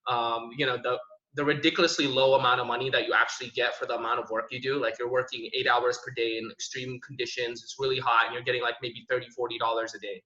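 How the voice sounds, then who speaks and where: A young man from Indonesia talks quickly in a bright, forward voice, with a steady, flat pitch. He tells his story casually and keeps filling gaps with "you know" and "um". The sound is roomy and a little dull, like a video call picked up in an echoey room. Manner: relaxed and conversational, many fillers like "you know" and "um". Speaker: panel speaker, tech professional. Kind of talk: online panel, personal story.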